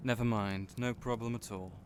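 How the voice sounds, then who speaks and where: A man's voice: fairly low pitch, steady tone, normal speed, lightly acted. A man playing a friendly, teasing young innkeeper in a fantasy audio roleplay, chatty and playful, speaking right to the listener. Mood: welcoming. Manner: chatty, playful, genial storyteller. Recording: noisy storm and fire ambience behind. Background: storm and crackling fire ambience.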